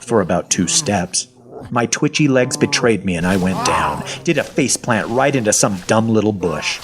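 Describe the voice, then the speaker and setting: A man's voice: lowish voice, expressive, normal speed, a crowd in the background, lightly acted. An actor playing a wry, self-deprecating survivor of a zombie apocalypse, telling his story in a folksy, sardonic first person. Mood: wry, weary. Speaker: actor narrating an audio fiction story. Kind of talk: first-person storytelling, dramatic audio fiction.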